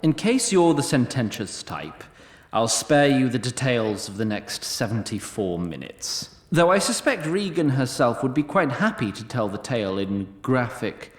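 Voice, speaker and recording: A man's voice: mid-range voice, sing-song, unhurried, reverberant. A man playing a haughty immortal storyteller, narrating a fantasy audio drama in a droll, formal, arch manner. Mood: arch, wry. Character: wry, lofty immortal storyteller, haughty toward mortals.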